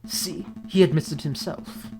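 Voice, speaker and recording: Woman doing a low, lecturing falcon lawyer. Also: a little mellow, soft background music. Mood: triumphant. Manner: lecturing, pointed, deliberate. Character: arrogant falcon defence lawyer, male, logical and cutting.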